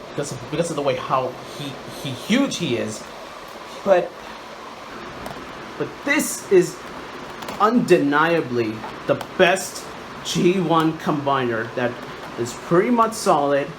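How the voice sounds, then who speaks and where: A man hosting a casual hands-on toy review, speaking haltingly and repeating words and phrases. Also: on the high side. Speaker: toy reviewer, channel host. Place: indoors, room at home. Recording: loud air-conditioner-like background noise.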